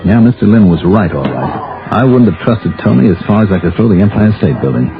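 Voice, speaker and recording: Wry hardboiled investigator, low muffled voice. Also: male voice, animated, fast talker. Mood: wry narration. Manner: dry, sardonic. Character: wry, cool, hardboiled male insurance investigator. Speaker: narrator and lead detective. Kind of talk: 1950s radio detective narration and acting. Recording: very muffled old radio recording with music.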